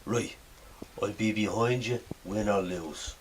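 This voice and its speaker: A man's voice: fairly low pitch, slow pace, a little hiss or hum. A man playing a dim, loyal, laid-back robber's sidekick in a radio drama. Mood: dopey.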